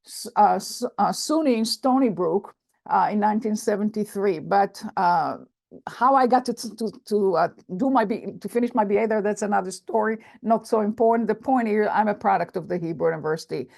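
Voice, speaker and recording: An older woman with an Israeli accent giving an academic lecture on a video call, speaking in a measured, halting way with many fillers and restarts. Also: mid-range voice, vocal fry, darkish tone.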